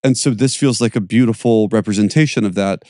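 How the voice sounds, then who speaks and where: A man hosting a podcast who also teaches fitness. He chats in an energetic, performative way. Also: fairly low pitch, fairly quick, dry recording, clean studio sound. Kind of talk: podcast conversation.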